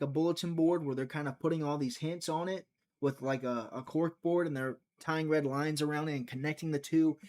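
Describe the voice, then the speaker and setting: A man hosting a live prediction stream, chatting casually, thinking out loud and talking to his viewers, sometimes trailing off into a mutter. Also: on the high side. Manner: chatty, thinks aloud, talks to chat.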